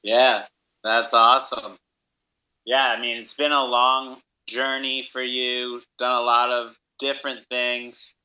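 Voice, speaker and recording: Bright, forward-voiced male interviewer. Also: mid-range voice, little pitch movement, slow pace, poor audio. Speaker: radio show host and interviewer. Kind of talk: casual interview chat.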